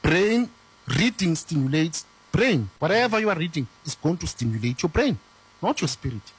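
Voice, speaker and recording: Guy in his 30s, high husky voice, earnest, explains things with lots of rhetorical questions. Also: sing-song, normal speed. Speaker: spiritual teacher. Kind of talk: conversational teaching.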